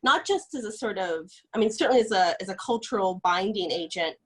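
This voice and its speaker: A woman scholar interviews an author over a video call, her voice husky and her pitch moving in lively swings. She asks long, meandering questions padded with "sort of" and other hedges. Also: brisk. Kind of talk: conversational interview.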